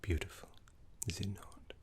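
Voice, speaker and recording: A man voicing a gentle, scholarly necromancy teacher in an ASMR roleplay, soft, breathy and half-whispered, with soothing, genteel phrasing. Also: normal speed, close to an everyday voice. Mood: reverent, hushed. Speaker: teacher guiding a student.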